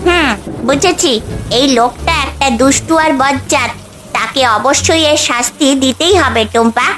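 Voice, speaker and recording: A kind, colourful magic bird, voiced by a woman in a cartoon tale. The voice is high and reassuring, giving instructions over background music. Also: moderate pace, a bit bright. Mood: purposeful. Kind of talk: Bengali cartoon story dubbing.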